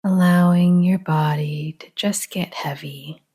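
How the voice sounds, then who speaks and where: A woman guiding a relaxation meditation in a soft, half-whispered, breathy voice, calm and gentle, with long pauses. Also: on the low side. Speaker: meditation guide.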